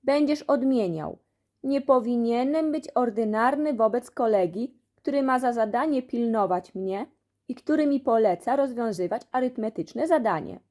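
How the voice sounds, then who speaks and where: A woman's voice: on the high side, animated, normal speed, dark tone, lightly acted. A woman voicing a stern, commanding male school supervisor in a children's storybook. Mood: stern, threatening.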